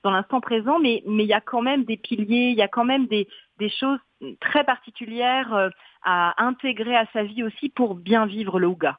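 A woman author, chatting in a radio interview, full of fillers and quick laughs. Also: fairly high pitch, no echo. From French-Canadian. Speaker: author guest. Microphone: phone line. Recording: tinny phone line.

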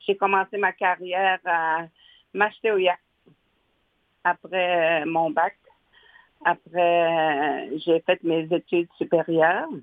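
A woman's voice: on the low side, steady tone, dry recording. Older woman on a phone line. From Nutashquan, Quebec. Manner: halting, many fillers and restarts, laughs often. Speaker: guest, educational advisor. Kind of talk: radio interview. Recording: dry phone-line interview.

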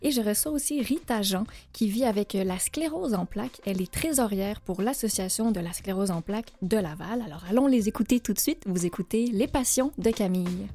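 A woman hosting a radio interview show, speaking gently with many hesitations. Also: medium pitch, a little fast, hardly any pauses.

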